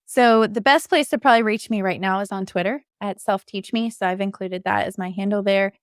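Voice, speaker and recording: A woman's voice: mid-range voice, a little fast, clean tone, no room sound. Female podcast guest, mid-range voice, conversational delivery, dry sound. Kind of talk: casual chat.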